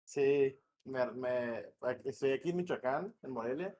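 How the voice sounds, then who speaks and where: A man from northwest Mexico with a northern Mexican accent, a game director talking as a podcast guest in a relaxed, slangy, rambling way. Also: medium pitch, a little slow. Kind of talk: casual podcast interview. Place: home in Morelia.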